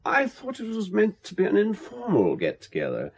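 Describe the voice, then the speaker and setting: An actor playing a smooth go-between for spies in a radio drama, polite, formal and coolly pressing. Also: male voice, high-pitched, wildly swooping pitch, normal speed, highs cut off, full character voice.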